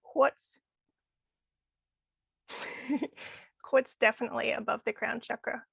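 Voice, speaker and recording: A woman's voice: fairly high pitch, fairly even intonation, takes it slowly, gravelly, a bit bright, slightly rough, dry recording, clean studio sound. A woman losing her voice, talking enthusiastically about crystal healing as a podcast guest. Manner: enthusiastic, chatty with frequent laughs. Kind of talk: casual podcast interview.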